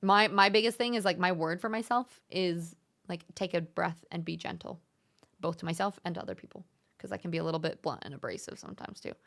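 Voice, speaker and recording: A young woman hosting a casual live-stream chat, speaking in a gentle, encouraging and thoughtful way. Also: lowish voice, fairly quick, vocal fry. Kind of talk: casual live-stream chat, reading viewer messages aloud.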